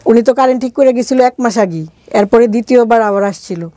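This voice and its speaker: A woman's voice: on the high side, fast talker, warm tone, no room sound. A middle-aged woman with a Bangladeshi accent telling a personal story conversationally as an interview guest, speaking colloquially and acting out quoted dialogue.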